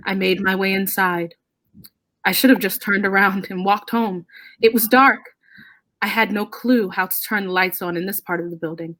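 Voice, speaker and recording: A woman's voice: mid-range voice, a bit bright, no room sound, low-quality recording. Woman storyteller, very dry recording. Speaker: storyteller reading a ghost story. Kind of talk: dramatic scripted narration.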